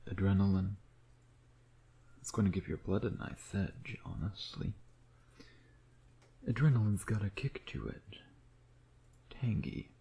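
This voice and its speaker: Male actor as a male vampire, low-pitched, soft dark timbre, smug, sardonic, flirtatious, breathy murmurs, intimate ASMR roleplay. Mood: taunting, amused. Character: smug, teasing male vampire predator toying with a hunter.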